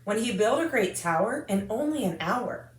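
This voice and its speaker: An American woman reading a rhyming children's picture book aloud in an expressive, singsong rhythm. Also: a little high, normal speed, a little hiss or hum. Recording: echoey room.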